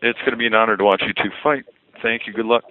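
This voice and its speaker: A man's voice: lowish voice, steady tone, very fast. A male reporter asking fighters questions on a conference call in a friendly, polite way. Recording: tinny phone line with faint hiss.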